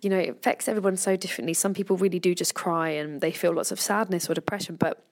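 Candid English woman, low voice. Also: quick pace. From England. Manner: candid, swears freely, animated retelling. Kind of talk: candid podcast conversation.

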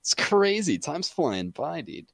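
A man chatting casually about games as a podcast guest, excitable and saying "dude" often. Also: a little high, slow, vocal fry, no echo, studio quality. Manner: excitable, says "dude" a lot. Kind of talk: casual gaming chat.

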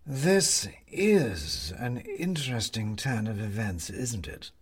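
A man doing a slow, sly, menacing house cat. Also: mid-range voice, sing-song, a crowd in the background.

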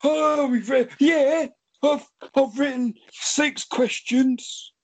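A man's voice: extremely high pitch, steady tone, slow pace. A man voicing a small, chatty, earnest elephant who stammers and fusses as he hosts a comedy podcast quiz. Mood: excited. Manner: stammering, pedantic.

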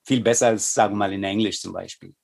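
A man's voice: on the low side, steady tone, fast, vocal fry. A middle-aged man from India with an Indian accent, whose first language is Tamil, answering interview questions as a yoga teacher in a thoughtful, hesitant way with many fillers.

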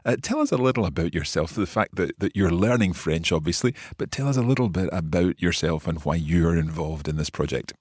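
A man hosting a podcast as a French teacher, explaining a course in a measured, explanatory way and slipping between English and French. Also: sits low, a little mellow, slightly rough, no room sound, studio quality. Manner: measured, explanatory, with some restarts.

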